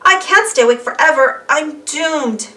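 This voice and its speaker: A woman acts out an anxious young schoolboy from a children's storybook. The voice is very high and bright, swooping with plaintive exclamations as he frets about embarrassment. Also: lively intonation, measured pace, recorded from a distance, full character voice. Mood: worried.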